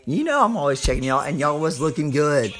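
Brash, higher-pitched Southern podcast guy, slightly hoarse. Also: sing-song, fairly bright tone, faint music behind. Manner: hyped, brash showman with drawn-out words; repeats phrases for emphasis. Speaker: podcast host. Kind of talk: informal sports podcast monologue.

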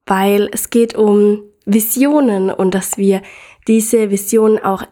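A young woman hosting her own podcast, talking casually and enthusiastically straight to the listener, her voice slightly hoarse from a cold. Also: mid-range voice, steady tone, slow, dark tone. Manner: upbeat, enthusiastic, addresses listener directly. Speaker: podcast host. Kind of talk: casual solo podcast talk.

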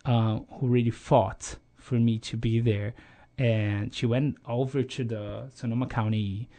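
A man from Brazil speaks English with a Brazilian Portuguese accent in a relaxed interview. His pitch sits in the middle. He is sincere, pausing often with fillers and starting over mid-sentence. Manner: hesitant, many fillers and restarts, earnest. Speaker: guest. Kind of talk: casual interview conversation.

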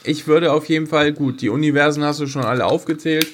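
A man's voice: fairly high pitch, fairly even intonation, fast, a little hiss or hum. Male podcast co-host, casual fan talk, direct and teasing delivery. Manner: blunt, pressing, teasing. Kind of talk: casual sci-fi fan chat.

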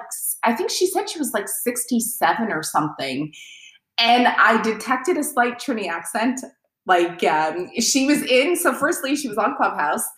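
A woman's voice: fairly low pitch, fairly bright tone. A woman talking casually and at length in a conversation, with lots of fillers and restarts. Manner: talkative, many fillers and restarts. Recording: roomy echo.

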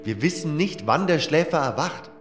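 A man's voice: a little high, lively intonation, moderate pace, echoey room, soft background music, voice pushed up, close to an everyday voice. A man voicing a worried, weary male adventurer in a fantasy audiobook, with an earnest, anxious manner. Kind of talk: audiobook character acting.

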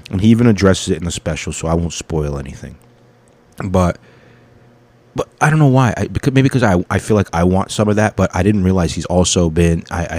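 A man's voice: low voice, darkish tone. A man in his thirties, a comedian hosting his own podcast, rambling through his week in a casual, profane, self-correcting monologue.

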